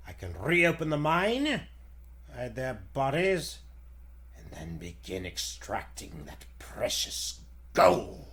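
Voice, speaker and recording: A man voicing a gloating, cruel villain in a theatrical, sneering, drawn-out 1940s radio serial manner. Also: mid-range voice, gravelly, fairly bright tone, pitched below the performer's own voice, full character voice. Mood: smug, cold.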